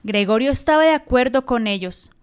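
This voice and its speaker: A woman's voice: on the high side, animated, fairly quick, clear, resonant tone. A woman narrator telling a scripted story for a radio drama.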